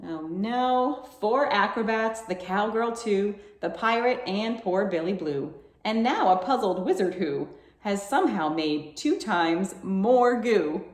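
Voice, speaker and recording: A woman's voice: mid-range voice, animated, takes it slowly. A woman reading aloud expressively at a children's storytime, coaxing young listeners with questions. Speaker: children's library storytime reader. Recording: echoey room sound.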